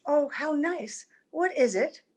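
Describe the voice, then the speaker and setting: A woman's voice: high voice, expressive, slow, a little mellow. A woman playing a youthful, sweet, earnest wife in old-time radio drama, with a theatrical delivery. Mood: sweet, polite. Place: at home, recorded over video call.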